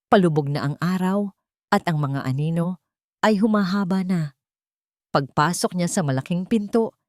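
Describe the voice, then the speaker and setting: A woman's voice: lowish voice, darkish tone, no room sound, studio quality. A woman reading a scripted dramatic audio story as narrator.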